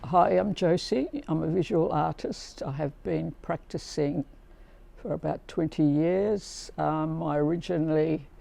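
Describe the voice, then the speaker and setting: A woman's voice: deep voice, unhurried, vocal fry, mellow, faint music behind. A middle-aged woman, a visual artist and former teacher, speaking thoughtfully in a documentary interview.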